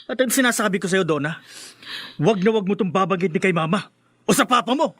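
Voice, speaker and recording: A man's voice: high-pitched, animated, brisk, a bit bright, dry recording, studio quality. A man acting in a radio drama as a defiant, short-tempered husband and grown son, defensive and curt. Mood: defiant, threatening.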